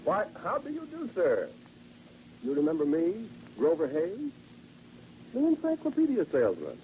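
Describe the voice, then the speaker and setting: A man's voice: on the high side, huge pitch swings. Swooping, sinister genteel salesman, old radio. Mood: chatty, genial. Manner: polite, halting, insinuating, theatrical. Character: genteel, chatty, quietly sinister henpecked encyclopedia salesman. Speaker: radio drama actor. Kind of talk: vintage radio horror monologue, one-sided conversation. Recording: noisy, dull vintage radio recording.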